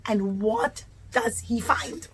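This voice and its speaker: A woman's voice: on the high side, slow pace, slightly rough. A woman telling a story to young children in simple, repetitive, emphatic phrases. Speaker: children's puppet show storyteller.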